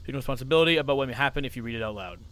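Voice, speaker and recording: A young man hosting a livestream, reading aloud and reacting in an excitable, theatrical, jokey way. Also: mid-range voice, rapid-fire. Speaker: livestream host.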